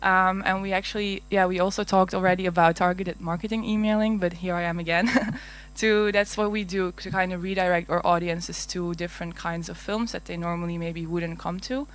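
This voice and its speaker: A woman's voice: fairly low pitch, fairly even intonation, brisk, hardly any pauses. A woman from Belgium with a Flemish Dutch accent, giving a conference talk in a large hall, hesitant with many fillers and little laughs. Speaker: conference speaker. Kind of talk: conference presentation. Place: large darkened auditorium.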